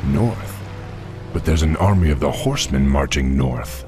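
An actor playing a young, noble orc war chief in a fantasy game, with a gravelly voice and a firm, formal manner. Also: male voice, rumbling low, lively intonation, unhurried, music in the background. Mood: earnest. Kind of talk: fantasy video game acting.

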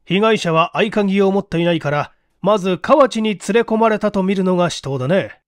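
A man voicing a composed middle-aged police investigation chief who reasons aloud with measured authority in an audiobook. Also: high-pitched, no echo, studio quality, close to an everyday voice. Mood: reasoning. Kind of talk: audiobook character acting.

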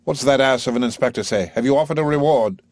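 A man's voice: medium pitch, fast talker, no echo, voice pushed up, natural voice. A man voicing an earnest, concerned older male colonel.

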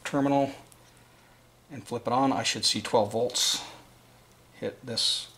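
A man with a mid-pitched voice presents a do-it-yourself tutorial indoors at a work table. He talks casually and without a script, explaining each step as he goes. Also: steady tone, slow pace, a bit bright. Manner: informal, unscripted, explanatory. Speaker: presenter of a DIY wiring tutorial.

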